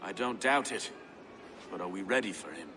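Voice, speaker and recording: An actor playing a grave, loyal medieval warrior in a video game, speaking in solemn declarations. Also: male voice, low voice, animated, moderate pace, noisy background. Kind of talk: dramatic video game dialogue.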